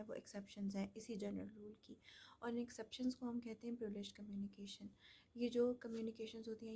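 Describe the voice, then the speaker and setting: Woman lecturing in a flat, mellow voice. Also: medium pitch, slightly rough. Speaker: law lecturer. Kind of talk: explanatory lecture mixing Hindi-Urdu with English legal terms.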